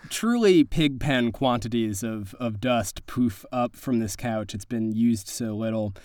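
A man's voice: medium pitch, lively intonation, unhurried, darkish tone, no room sound, clean studio sound. A man narrating a tabletop horror-comedy as game master, descriptive and conversational. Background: faint music.

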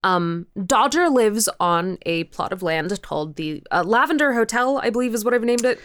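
Animated young woman chatting, clean close sound. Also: mid-range voice, no room sound. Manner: animated, halting, informal, sweary. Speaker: podcast creator, interview guest. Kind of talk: casual interview chat.

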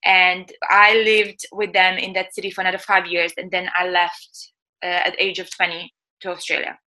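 A woman's voice: fairly low pitch, little pitch movement, normal speed, pushed and bright, poor audio. A woman in her thirties, not a native English speaker, telling her life story candidly in a casual interview, often laughing and searching for words. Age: 30s. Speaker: guest.

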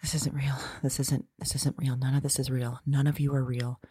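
A woman's voice: extremely low pitch, little pitch movement, brisk, dark tone, soft background music. A woman playing a frightened, whispering woman. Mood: panicked. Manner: whispering, repeating herself. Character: frightened woman on the edge of breaking. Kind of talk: audio drama monologue.

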